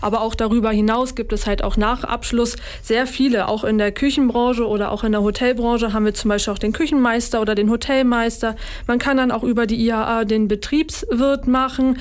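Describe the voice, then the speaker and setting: A young woman in her twenties with a fairly high voice answers questions as a radio guest. She explains things at a steady pace, with an occasional filler. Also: a little fast, few pauses. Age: 20s. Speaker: recent hospitality trainee.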